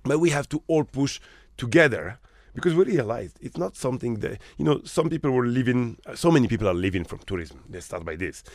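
A man's voice: mid-range voice, animated, a little fast, hardly any pauses, no room sound, clean studio sound. A man from Morocco who manages hotels, talking as an interview guest with emphatic rhetorical questions.

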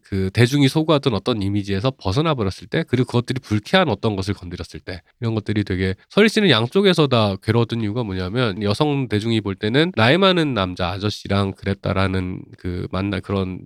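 A man's voice: lowish voice, lively intonation, fast talker, hardly any pauses, no room sound, studio quality. A man in an opinionated panel discussion, talking as a host in an emphatic, explanatory way and often correcting himself. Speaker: podcast host.

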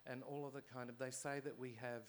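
A man's voice: medium pitch, level tone. Reflective man on a panel. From Australia. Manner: reflective, measured, with pauses. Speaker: panelist, dance and theatre artist. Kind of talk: panel discussion.

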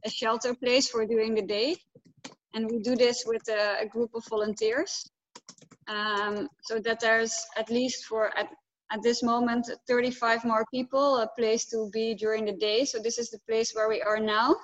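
A woman's voice: fairly high pitch, little pitch movement, moderate pace, lo-fi sound. A woman, a migrant rights organizer, explaining the situation earnestly and steadily in a radio interview. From Netherlands.

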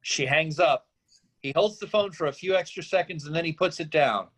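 High-pitched male screenplay narrator. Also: moderate pace, fairly bright tone. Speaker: narrator reading stage directions. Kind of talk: scripted narration.

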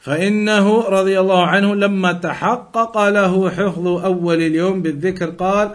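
A man with a slightly high voice teaches religion. He reads and explains in a didactic way, restating key phrases so that each point lands. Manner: didactic, repeats and rephrases phrases for emphasis. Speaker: religious teacher reading and explaining an Islamic text. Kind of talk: religious lesson, reading aloud then explaining.

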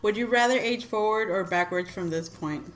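A woman in her late twenties chatting with friends, unhurried and teasing, laughing often. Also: medium pitch, roomy sound, soft background music. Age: 20s. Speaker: guest friend. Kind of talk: casual chat among friends.

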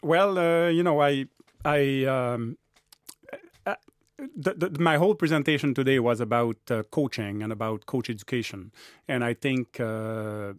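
A man's voice: mid-range voice, unhurried, dry recording, studio quality. A man speaking as a guest researcher in an interview, hesitant and often repeating words. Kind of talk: interview answers.

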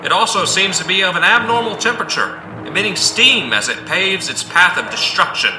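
A man playing an urgent emergency news broadcaster in an audio drama, announcing formally and gravely. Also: sits high, animated, brisk, reverberant, background noise.

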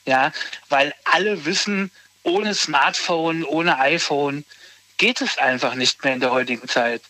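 A man in his thirties chatting casually as a guest, hesitant and halting, often restarting his sentences. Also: fairly high pitch, bright, forceful tone, dry recording, poor audio. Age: 30s. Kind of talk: casual conversation.

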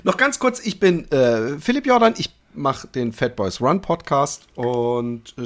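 A man's voice: mid-range voice, gravelly. A man hosting a casual podcast, with a teasing, probing way of talking. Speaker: podcast host.

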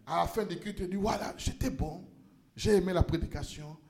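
A male pastor preaching a sermon in a church, impassioned, rising to shouts and stumbling over repeated words. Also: high-pitched, brisk, gravelly, roomy sound.

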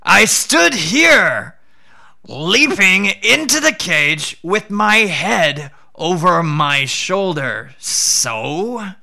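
A man's voice: high voice, big pitch swings, extremely slow, vocal fry, pushed and bright, pitched above the performer's own voice, full character voice. A man voicing a hungry, deceitful male tiger who pleads and then threatens. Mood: pleading.

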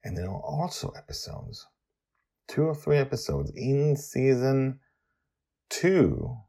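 A man's voice: deep voice, gravelly, darkish tone, husky. A man hosting a story podcast, talking informally and emphatically as he introduces and explains episodes.

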